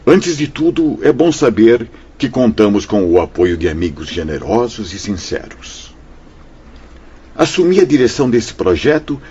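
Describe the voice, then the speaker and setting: Deep-toned, kindly spirit elder. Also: male voice, breathy edge, heavily acted. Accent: Brazilian. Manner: formal, solemn, measured, gently reassuring. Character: kindly elder spirit director, serene, paternal, authoritative. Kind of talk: Brazilian radio drama acting. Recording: dull radio drama sound with music.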